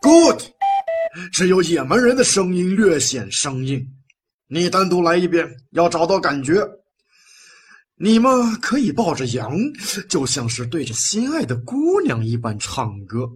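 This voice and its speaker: An actor playing a blustering mentor who lectures young heroes with theatrical bossiness, in a comic audio drama. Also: male voice, a little high, big pitch swings, a little slow, background noise. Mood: coaching. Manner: bossy, theatrical lecturing with sudden shouts. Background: background music.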